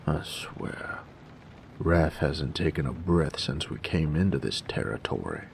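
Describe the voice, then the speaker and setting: A jaded bandit acted by a man drawls slowly in slangy, folksy speech for a roleplay audio drama. The voice is rock-bottom deep and thick with gravel, sardonic and gruff. There is faint noise behind it. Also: lively intonation, exaggerated voice. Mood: exasperated. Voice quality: gravelly, very low voice. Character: gruff, jaded male bandit who grew up drifting with a carnival.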